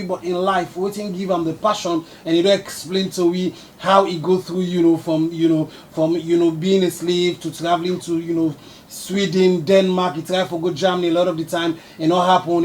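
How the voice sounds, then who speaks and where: Nigerian tv host guy, kinda high voice, stumbly repeats, mixes in pidgin. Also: slight background noise. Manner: halting, repeats words when starting sentences. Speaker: TV interviewer. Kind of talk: interview questions mixing English and Pidgin.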